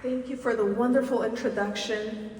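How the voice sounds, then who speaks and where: A woman from the Dominican Republic giving a commencement keynote, speaking slowly and deliberately with emphatic pauses. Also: on the high side, steady tone, heavy background noise. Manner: slow, deliberate, rhetorical speech with emphatic pauses. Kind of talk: commencement speech. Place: large auditorium stage. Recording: distant, echoey hall with long reverb.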